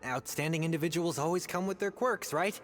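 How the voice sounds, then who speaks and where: An actor playing an earnest young rookie adventurer in a video game, eager to prove himself. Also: male voice, a little high, a little fast, lightly acted. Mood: pleading. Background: game music.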